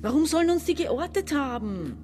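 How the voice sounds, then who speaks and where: Exasperated, commanding woman around forty, animated. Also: high voice, normal speed, a little hiss or hum. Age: 40s. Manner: commanding, impatient, sardonic. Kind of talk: radio drama dialogue and monologue.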